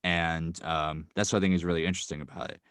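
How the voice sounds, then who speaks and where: A young man, a guest writer, speaking casually and quickly with many fillers like "um" and "like.". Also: sits low, flat delivery, a bit bright, clean tone, no echo, clean studio sound. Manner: quick, filler-heavy, thoughtful. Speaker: guest, audio drama writer. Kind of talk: casual interview talk.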